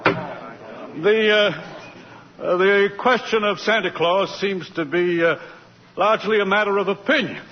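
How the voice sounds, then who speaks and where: A man playing a dignified, hesitant judge with a ponderous, formal manner in a 1940s radio drama. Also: high voice. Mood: measured. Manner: halting, ponderous. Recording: reverberant, muffled, faintly noisy old radio.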